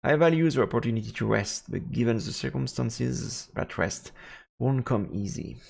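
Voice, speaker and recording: A man's voice: mid-range voice, lively intonation, normal speed, vocal fry, a little mellow. A man reading a visual novel aloud as dramatic narration on a game stream. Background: game music.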